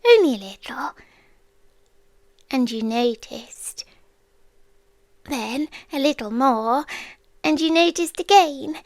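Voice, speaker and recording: A British woman playing an ancient, possessive female creature in an intimate ASMR roleplay, speaking softly and teasingly with deliberate pauses and drops into whispers. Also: high-pitched, lively intonation, no room sound, heavily acted. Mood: musing, teasing. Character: ancient female stalker creature, possessive, watchful, tenderly menacing. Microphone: close microphone, dry.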